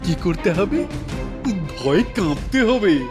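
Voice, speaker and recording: A man's voice: high-pitched, sing-song, takes it slowly, heavily acted. A man voicing a gloating, theatrical evil sorcerer in a cartoon, with a grandiose, menacing delivery. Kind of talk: cartoon voice acting. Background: music.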